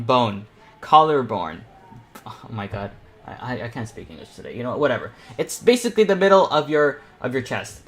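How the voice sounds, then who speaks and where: A man's voice: medium pitch, fairly bright tone. A young man hosting a casual painting livestream, rambling and thinking aloud as he works. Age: teens to young adult. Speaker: livestream host and self-taught painter.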